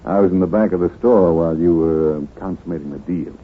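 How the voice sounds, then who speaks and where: An actor playing a pushy, shady crook with husky, slangy tough-guy talk in a 1940s radio drama. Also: sits low, fast talker, a little hiss or hum, lightly acted. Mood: sly, persuasive. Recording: muffled, phone-like vintage radio.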